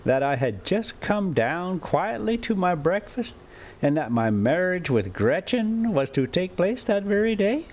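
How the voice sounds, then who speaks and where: A man reading a classic adventure novel aloud as an amateur storyteller, with dramatic, deliberate phrasing and pauses. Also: high-pitched, very animated. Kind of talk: expressive amateur audiobook narration with casual asides.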